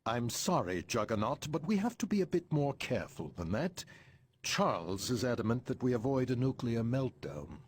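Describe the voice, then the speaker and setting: An actor playing an earnest, authoritative hero team leader in a video game, briefing formally on a mission. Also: male voice, medium pitch, sing-song, moderate pace, slight background noise, lightly acted.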